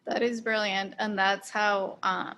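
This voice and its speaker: A woman's voice: mid-range voice, flat delivery, a little slow, pushed and bright, slight background noise. A woman from Colombia hosting a casual interview, talking personally and hesitantly, often correcting herself as she goes. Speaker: interview host.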